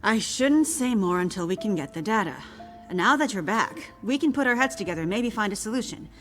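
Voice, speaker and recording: A woman playing a guarded older woman scientist in a video game, speaking in measured, formal, precise phrases. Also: medium pitch, expressive, lightly acted. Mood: grave, cautious. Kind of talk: video game cutscene acting. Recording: faint music behind the voice.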